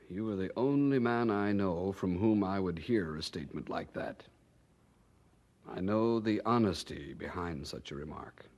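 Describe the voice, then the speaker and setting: An actor playing a witty, worldly gentleman inventor in a radio drama, jovial and urbane in manner. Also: lowish voice.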